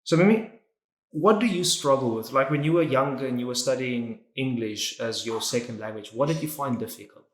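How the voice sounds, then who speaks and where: A man's voice: mid-range voice, a little fast. Chatty male interview host, echoey room. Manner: chatty, opinionated, often starts questions with "so". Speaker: interview host, English teacher. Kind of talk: casual interview, educational.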